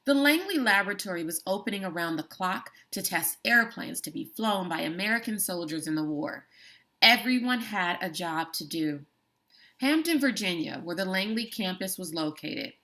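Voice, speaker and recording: A woman teacher reads a book chapter aloud for her students at a measured, deliberate pace. She stresses important words and now and then breaks off for a brief comment of her own. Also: fairly low pitch, lively intonation, pushed and bright. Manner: slow, deliberate reading with emphatic words and brief asides. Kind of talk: classroom read-aloud of a book.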